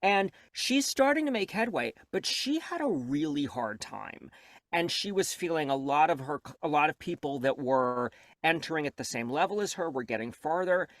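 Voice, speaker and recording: Thoughtful man with a slightly high voice. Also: sing-song, moderate pace, no room sound, clean studio sound. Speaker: audiobook narrator and coach, guest. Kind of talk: candid interview conversation.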